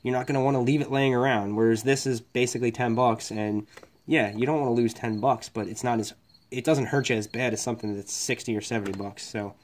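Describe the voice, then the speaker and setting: A man in his workshop talks through his work tools in a loose, rambling way. He is frank and swears casually, like an electrician chatting to other tradesmen. His voice sits at an ordinary middle pitch. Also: steady tone, brisk. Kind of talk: casual tool review. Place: workshop bench, indoors.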